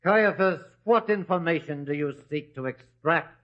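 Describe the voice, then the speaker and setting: A principled councilman acted by a man who questions and challenges with reason in a biblical radio play. His voice is fairly high, on a slightly dull, lo-fi recording. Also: normal speed, natural voice. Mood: challenging. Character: principled male council elder questioning the trial. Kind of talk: biblical radio drama acting.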